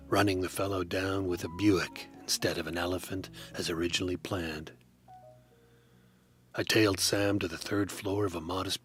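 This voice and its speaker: Deep-voiced deadpan noir narrator, man. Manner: dry, deadpan, wry. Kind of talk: hardboiled detective noir narration.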